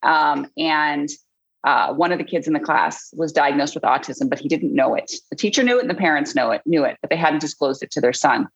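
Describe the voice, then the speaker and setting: Low-voiced woman author. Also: animated, brisk, husky, muffled recording. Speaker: children's book author, interview guest. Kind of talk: conversational interview.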